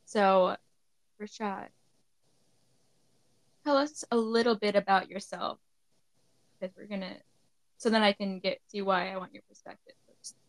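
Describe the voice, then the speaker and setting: A woman's voice: medium pitch, fairly even intonation, measured pace, long pauses, dry recording. A woman hosting a podcast, reading her show intro and chatting with a guest, with a voice that sounds younger than she is. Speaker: podcast host. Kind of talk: podcast intro and casual interview.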